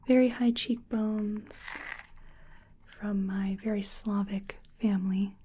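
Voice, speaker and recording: A woman whispering gently and slowly in an ASMR roleplay, calmly listing facial measurements as she takes them. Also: medium pitch, husky. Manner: slow, gentle, soft-spoken whispering. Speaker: ASMR artist.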